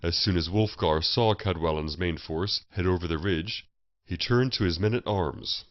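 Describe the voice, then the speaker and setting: A man's voice: low voice, normal speed. A man reading a historical fantasy story as a scripted audiobook narration. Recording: muffled, roomy voice recording.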